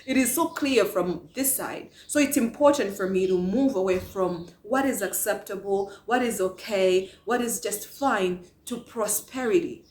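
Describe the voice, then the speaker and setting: A woman's voice: medium pitch, a little slow, hardly any pauses, darkish tone. A woman from Malawi giving a passionate motivational talk to camera, asking many rhetorical questions. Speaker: vlogger. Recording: echoey room.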